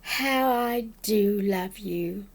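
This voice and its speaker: A woman voicing a calm, kindly, reassuring young boy from a children's storybook. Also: medium pitch, drawn-out words. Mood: affectionate.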